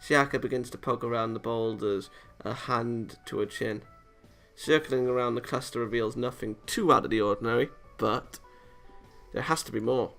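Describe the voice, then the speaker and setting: Creaky-voiced man doing a let's play. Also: medium pitch, moderate pace, a bit bright, faint music behind. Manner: stumbles over read lines, swears casually. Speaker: let's player. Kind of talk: let's play, reading visual novel aloud with casual asides.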